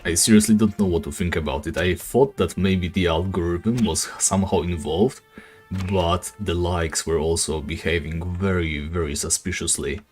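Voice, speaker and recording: Deep raspy male game streamer. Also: moderate pace, soft background music. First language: not English. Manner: relaxed, rambling, many fillers. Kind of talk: casual live-stream chat while gaming.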